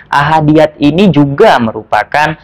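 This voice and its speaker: A man's voice: fairly high pitch, expressive, unhurried. A man hosting an informal educational explainer, chatty and repetitive as he restates words. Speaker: host of a language lesson.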